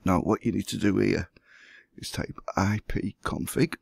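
A hoarse man who has the flu, walking through a computer setup step by step as the host of a gaming channel. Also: on the low side, fairly even intonation, a little slow, no echo, studio quality. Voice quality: gravelly, husky. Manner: plain step-by-step explaining. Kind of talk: screen-recorded tutorial.

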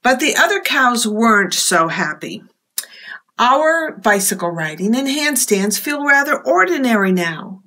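A woman in her fifties reading aloud at storytime for young children, expressive and deliberate, in a small room. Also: on the high side.

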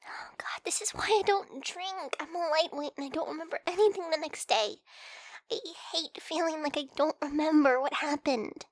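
A woman acts out a flustered young female friend in an intimate ASMR roleplay. Her voice is high and soft, with hesitant, stammering delivery. The sound is dry, with hardly any room in it. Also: moderate pace, no room sound, close to an everyday voice. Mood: sheepish, hesitant. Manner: hesitant, stammering, soft-spoken with breathy whispered moments.

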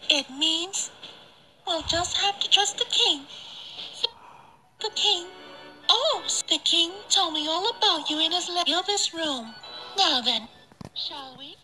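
A very high, bright, girlish game character, with dramatic delivery. The pitch swoops about in a lively way, with light music behind it. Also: takes it slowly, long pauses, full character voice, rough recording. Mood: eager. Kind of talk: video game voice acting.

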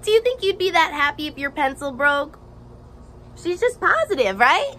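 A young woman teacher reading a picture book aloud to children outdoors, expressive and encouraging, with questions and exaggerated emphasis. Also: sits high. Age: young adult. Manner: expressive, asks questions and pauses for answers. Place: outdoors on a house porch. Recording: faint outdoor background noise.

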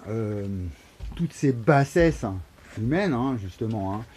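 A man's voice: mid-range voice, expressive, slow, a little mellow, slightly rough. A man, rambling to a phone camera in a wooden shed, repeating words and piling on fillers with sudden emphatic bursts. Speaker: vlogger. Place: wooden shed or barn, indoors. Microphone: phone camera held low at arm's length.

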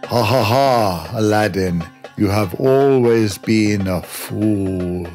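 A scheming cartoon magician, acted by a man, theatrical and full of villainous self-satisfaction. His mid-pitched voice draws words out slowly, with music playing underneath. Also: a little mellow. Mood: gloating, commanding. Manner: theatrical, evil laughs. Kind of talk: children's cartoon acting.

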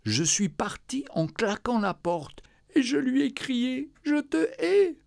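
A sobbing grown daughter, as a man puts her on, pleading in a high, strained voice that leaps far above his own. Her pitch swings widely from line to line, and the sound is close and dry. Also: lively intonation, slow pace, gravelly, dry recording, exaggerated voice. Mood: anguished.

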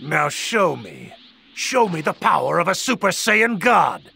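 Extremely gravelly, imperious destroyer. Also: male voice, on the high side, big pitch swings, unhurried, bright, forceful tone, a little hiss or hum, full character voice. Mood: haughty challenge. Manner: haughty, commanding. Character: imperious, menacing male destroyer, demanding and unimpressed. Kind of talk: dramatic video game voice acting. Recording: music under the voice. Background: music.

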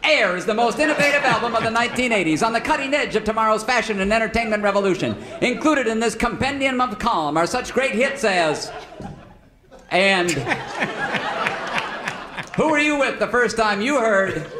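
A man playing a brash, folksy pitchman in parody radio commercials, selling hard with exuberant, emphatic flourishes. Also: expressive, normal speed, a crowd in the background, noisy background. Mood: mock earnest. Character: brash, folksy, fast-selling male huckster in comic radio ads. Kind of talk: parody old-time radio advertising.

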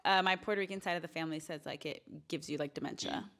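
A woman's voice: low-pitched, fast talker, fairly bright tone. A young adult woman co-hosting a podcast in casual conversation with a friend, speaking into a close handheld mic. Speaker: podcast co-host. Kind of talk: casual chat between friends. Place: small bedroom, sitting on a bed. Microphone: handheld microphone held close.

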